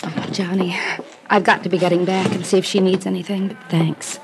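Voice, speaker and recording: Actress as a wistful young radio-romance heroine. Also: female voice, fairly low pitch, little pitch movement, a little fast, background noise, lightly acted. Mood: earnest, grateful. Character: soft-hearted, romantic young lady's companion under suspicion. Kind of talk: 1950s radio drama acting. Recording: old radio drama recording.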